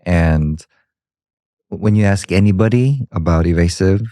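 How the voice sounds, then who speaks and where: A man with a very deep voice co-hosts a podcast, talking casually and curiously with easygoing slang. The sound is dry and close-miked. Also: a little slow, mellow, no room sound. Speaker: podcast co-host. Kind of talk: casual interview chat.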